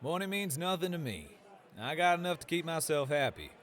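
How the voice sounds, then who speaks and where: A man plays a cocky gunslinger from a fantasy game audiobook. His Western American drawl is teasing and easygoing. The pitch sits a little high for a man and swings in a lively way. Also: brisk. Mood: cocky, challenging. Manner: drawling slang, teasing, chuckling.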